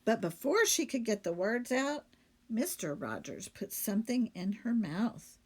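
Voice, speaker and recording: Slow, expressive woman reading to children. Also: a little high, husky. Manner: explanatory, addressing young listeners with questions. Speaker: teacher reading aloud. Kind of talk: children's story narration with explanations.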